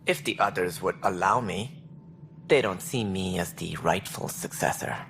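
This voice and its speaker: An actor playing an officious alien station director in a video game, speaking precisely and formally with a wry, earnest streak. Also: male voice, deep voice, normal speed, vocal fry, a bit bright, a little hiss or hum. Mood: wry, exasperated. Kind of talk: video game dialogue acting.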